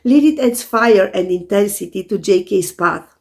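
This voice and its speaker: Hoarse lady astrologer, gravelly and scratchy, calm steady explaining like a scripted video. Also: mid-range voice, moderate pace. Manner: calm, methodical explaining to viewers. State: hoarse from a sore throat.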